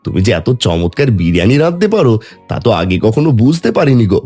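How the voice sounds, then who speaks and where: A man playing a gentle, devout farmer father in a cartoon, speaking expressively and affectionately. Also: mid-range voice, very animated, fast talker, breathy edge, soft background music. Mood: affectionate, pleased. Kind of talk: Bengali fairy-tale cartoon acting.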